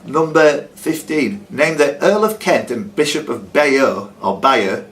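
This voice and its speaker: A man's voice: mid-range voice, expressive, slow pace, fairly bright tone, a little hiss or hum, rough recording. A man hosting a quiz, reading questions aloud and teasing the players with jokey casual banter.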